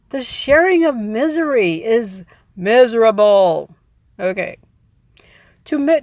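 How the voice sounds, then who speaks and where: A woman reading a self-help book aloud as its narrator, with deliberate, emphatic phrasing and informal commentary of her own. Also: fairly high pitch, no room sound, studio quality. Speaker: audiobook reader adding personal commentary.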